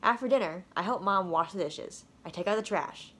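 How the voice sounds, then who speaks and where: A woman reading a children's storybook aloud with playful, expressive narration. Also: fairly low pitch, fairly quick, husky. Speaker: storybook reader.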